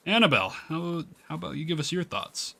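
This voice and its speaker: A man's voice: fairly high pitch, big pitch swings, fairly quick, vocal fry, pushed and bright, pitched above the performer's own voice, heavily acted. A man reading the game dialogue of an encouraging, mildly formal male history teacher. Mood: prompting. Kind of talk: reading visual novel dialogue aloud. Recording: music playing behind the voice.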